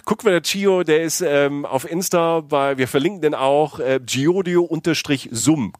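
A man's voice: mid-range voice, no room sound, clean studio sound. A man co-hosting a travel podcast in casual, unscripted chat, with an informal, chummy, teasing manner. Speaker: podcast co-host.

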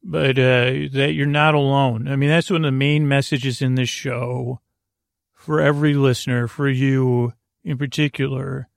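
A man with a gravelly voice hosting a sleep podcast, talking in a halting, meandering way, stammering and drifting into tangents. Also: medium pitch, level tone, no room sound, clean studio sound. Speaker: podcast host. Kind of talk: rambling bedtime monologue for a sleep podcast.

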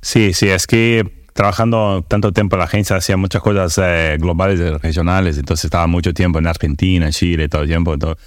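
Deep fast man. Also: fairly even intonation, dry recording, clean studio sound. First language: Portuguese. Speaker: guest, creative director. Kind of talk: casual conversation.

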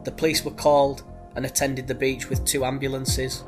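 Podcast host with a cold, level monotone storyteller over a music bed. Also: male voice, medium pitch, moderate pace. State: has a head cold. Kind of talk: true crime narration.

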